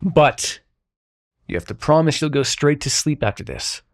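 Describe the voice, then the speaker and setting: Casting: male actor for grumbling, sardonic dream god, intimate audio drama; very animated intonation, heavy vocal fry, foul-mouthed. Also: a little high, moderate pace. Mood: reluctantly conciliatory. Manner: sarcastic, profane, sighing, addresses listener as mortal. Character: exasperated, sarcastic god of dreams, a grumbling Sandman. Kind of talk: intimate scripted roleplay audio drama monologue.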